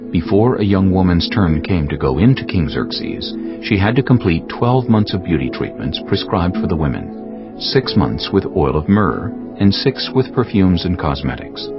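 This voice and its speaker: A male narrator reads a dramatized Bible story with formal, deliberate phrasing. His deep voice moves expressively up and down. The recording is a little muffled, with music running underneath. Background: prominent background music.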